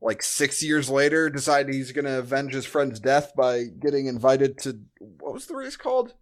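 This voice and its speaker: A man's voice: medium pitch, lively intonation, normal speed. A man hosting a podcast, chatting casually as he reviews a movie. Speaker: podcast host.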